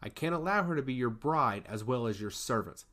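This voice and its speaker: A man's voice: mid-range voice, lively intonation, fairly quick, a bit bright, close to an everyday voice. Man voicing a stern, disdainful goddess of law. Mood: stern, harsh. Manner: scolding, emphatic, formal.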